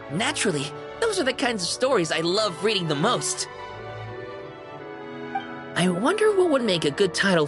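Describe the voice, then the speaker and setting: A living stuffed toy concierge from a video game, acted by a woman, speaks with courteous, bubbly flourish. The delivery is highly animated and theatrical, swinging widely in pitch. Music plays clearly underneath. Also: natural voice. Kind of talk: scripted video game character acting.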